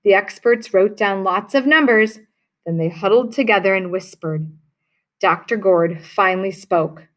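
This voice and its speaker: A woman reading a children's picture book aloud in a lively, expressive way. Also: medium pitch, measured pace. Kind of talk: read-aloud storybook narration.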